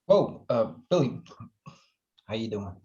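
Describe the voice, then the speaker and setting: Slow-talking scruffy young guy played by an actor, dull recording. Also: lowish voice, expressive, dark tone. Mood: teasing. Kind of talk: table read acting of a screenplay.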